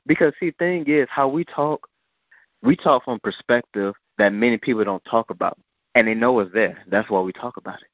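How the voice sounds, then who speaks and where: A man's voice: medium pitch, moderate pace, dry recording. A man hosting a podcast, talking in a blunt, provocative, preachy way. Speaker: podcast host. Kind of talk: casual podcast talk. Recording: dull lo-fi sound.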